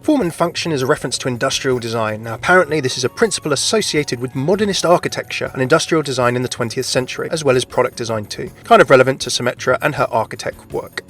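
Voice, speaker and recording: A man's voice: medium pitch, quick pace, hardly any pauses, a bit bright, soft background music. A man narrating scripted video game lore commentary in a chatty, lightly wry way.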